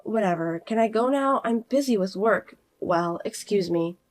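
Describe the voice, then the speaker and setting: A woman voicing a calm, cool-headed young working woman who speaks quietly and firmly, narrating in the first person. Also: medium pitch, moderate pace, close to an everyday voice.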